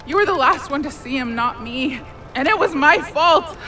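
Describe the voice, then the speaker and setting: A woman's voice: sits high, animated, moderate pace, pushed and bright, a little hiss or hum. An actress in an audio drama playing a remorseful, caring young woman who talks earnestly and plainly, with a wry streak.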